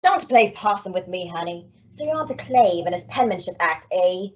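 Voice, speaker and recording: Actress doing a spiteful old radio villainess, taunting, very swoopy delivery, bit muffled recording. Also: female voice, lowish voice, lively intonation, normal speed, breathy edge. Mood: gloating. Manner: taunting, sneering. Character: menacing, mocking villainess with a gun, coolly spiteful. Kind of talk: old-time radio comedy acting.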